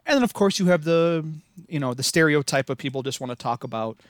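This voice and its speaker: A man's voice: a little high, animated, quick pace, no room sound, studio quality. A man in his thirties with a Midwestern American accent, talking enthusiastically as a podcast guest, rambling with many restarts. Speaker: podcast guest, living history reenactor. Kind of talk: casual podcast interview.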